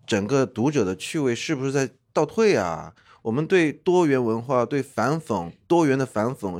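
A man's voice: medium pitch, a little mellow. A man guesting on a casual podcast, an author telling stories in a blunt, wry way. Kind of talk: casual chat.

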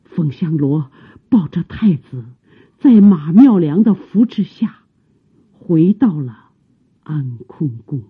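Male narrator, very husky, slow, grave scripted storytelling, faint music behind. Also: a little high, expressive. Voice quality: breathy, husky. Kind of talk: dramatic scripted narration for radio drama. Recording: tinny recording with music.

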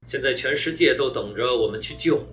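A man's voice: mid-range voice, brisk, faint music behind, close to an everyday voice. An actor playing an urgent, impatient man in authority in a science fiction audio drama. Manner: forceful, impatient.

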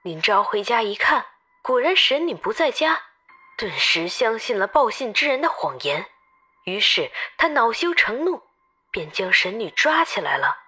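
A woman performs a lively female tour guide in an audio novel. Her voice sits fairly high and rings bright, swinging expressively as she tells travelers an old legend with emphasis. Faint music plays behind her. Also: normal speed, husky, heavily acted. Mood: dramatic storytelling. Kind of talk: dramatized storytelling in an audio novel.

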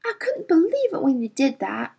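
A woman's voice: high-pitched, wildly swooping pitch, moderate pace, mellow, voice pushed up, exaggerated voice. A woman reading an audiobook, voicing a spirited, stubborn girl of about twelve who talks hotly and defiantly. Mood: heated. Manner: hot-tempered, defiant, rough-spoken.

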